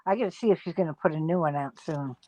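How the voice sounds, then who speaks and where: A woman's voice: low voice, fast talker, warm tone, no room sound, poor audio. A woman chatting casually on a livestream in short remarks and interjections.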